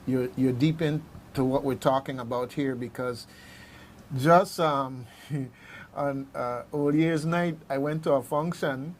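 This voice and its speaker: A man hosting unscripted talk radio in a halting, rambling way, repeating words as he goes. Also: medium pitch, slight background noise. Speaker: radio host.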